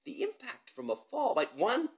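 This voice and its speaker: Man doing a whiny, nervous preteen boy, pitched high. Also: huge pitch swings, lo-fi sound, exaggerated voice. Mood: whiny stalling. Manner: whiny, pleading, drawn-out protests. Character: anxious, whiny, dramatic seventh-grade boy narrator's character.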